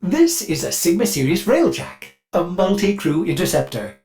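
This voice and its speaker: A man voicing an anxious, servile male ship computer in a video game, formal and halting, with synthetic processing. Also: sits high, very animated, music in the background. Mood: polite, helpful. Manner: formal and obsequious, with halting stammers. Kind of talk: scripted video game character acting.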